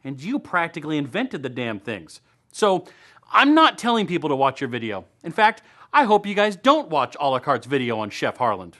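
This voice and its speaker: A man's voice: fairly high pitch, sing-song, normal speed, fairly bright tone. A man hosting a local web series, reading upbeat scripted news and event segments in a playful, tongue-in-cheek way.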